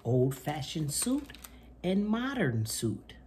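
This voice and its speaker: A man, hosting a children's storytime and reading aloud in an expressive, deliberate way. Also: fairly high pitch, background noise. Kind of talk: read-aloud children's picture book. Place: small indoor room at home.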